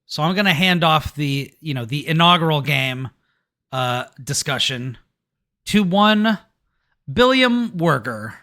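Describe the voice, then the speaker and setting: A tired man hosting a casual podcast, joking and chatting in a relaxed way. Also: fairly high pitch, unhurried. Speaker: podcast host.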